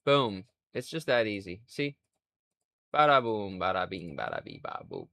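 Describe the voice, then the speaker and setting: A man in his twenties streaming live, rambling in a crude, self-mocking way and thinking out loud. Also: on the low side, wildly swooping pitch, normal speed, creaky. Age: 20s. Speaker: livestreamer. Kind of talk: casual livestream chat.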